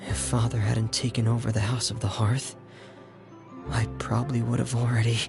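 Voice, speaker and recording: A grave, loyal young man, played by a male actor in dramatic video game dialogue. The voice sits at a middle pitch with a creaky edge, measured and earnest. Clear music runs underneath. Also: little pitch movement, close to an everyday voice. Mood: somber, bitter. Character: solemn, loyal young man raised in a strict orphanage. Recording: music behind the voice.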